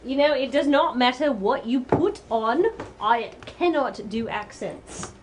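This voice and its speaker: A woman's voice: high voice, a little slow, noisy background. A woman from New Zealand, with a New Zealand accent, chatting casually as a charity stream host. She is self-deprecating and often restarts her sentences. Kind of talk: casual chat.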